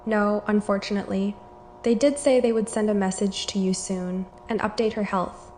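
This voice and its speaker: A woman's voice: medium pitch, steady tone, moderate pace, darkish tone, a little hiss or hum, lightly acted. A woman playing a polite, concerned hospital doctor in an amateur horror drama, speaking matter-of-factly.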